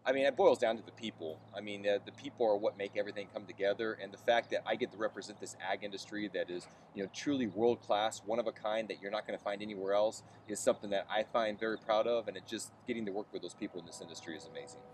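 An American man speaks quickly and fluently as an interview guest. His answers are earnest and conversational. He is recorded outdoors, slightly distant from the mic, with a faint hum behind him. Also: on the low side. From Central California, USA. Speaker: interview guest, farm organization chief. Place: outdoors on an empty baseball stadium field.

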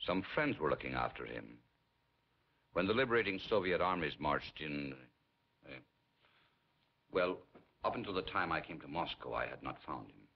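An actor playing a middle-aged, guarded Polish resistance prisoner, terse and dignified, in a 1950s film. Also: male voice, a bass voice, lively intonation, moderate pace, vocal fry, pauses often, breathy edge, heavily acted. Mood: guarded, grave. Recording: dull 1950s film soundtrack.

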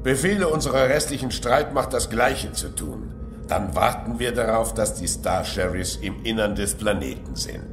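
An actor playing a gloating, scheming alien warlord in an audio drama, with a menacing, imperious manner. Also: male voice, on the low side, expressive, normal speed, roomy sound. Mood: gloating command. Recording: music under the voice.

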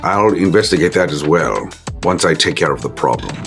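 A man playing a deferential gentleman vampire enforcer who speaks formally and with some hesitation. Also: sits low, animated, fairly quick, creaky. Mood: resolute. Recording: music behind the voice.

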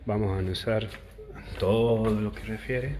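A man's voice: on the low side, fairly even intonation, moderate pace, mellow, slight background noise. A man in his thirties with an Argentine accent, a priest explaining church teaching in a chatty, joking way to a phone held at arm's length. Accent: Argentine Spanish. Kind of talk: casual catechesis to camera. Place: outdoor courtyard, then indoors.

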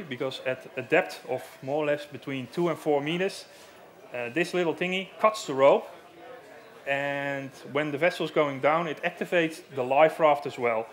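A man in his thirties with a Dutch accent, giving a live stage demonstration in a marquee on a headset mic, explaining plainly with many fillers. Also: a little high. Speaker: safety equipment rep. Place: large marquee at outdoor show.

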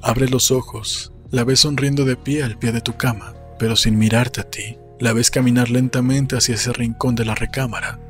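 A man narrates a novel in a measured, solemn way. His voice has a middling pitch and is close on the mic, with music underneath. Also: level tone. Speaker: audiobook narrator. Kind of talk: scripted literary narration. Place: small room indoors. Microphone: desk microphone close to the mouth, headphones. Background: soft music.

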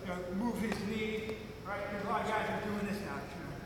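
Wrestling coach in a booming arena. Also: male voice, fast, heavy background noise. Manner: instructive, repeats "all right" often. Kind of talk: clinic demonstration. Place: large gym arena with wrestling mat. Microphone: far camera. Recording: echoey arena from far off.

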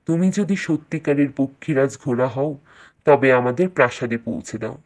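A woman's voice: very deep voice, moderate pace, darkish tone, pitched below the performer's own voice. A woman voicing a humbled, weary king in a cartoon fairy tale, speaking in a solemn, formal way. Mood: commanding.

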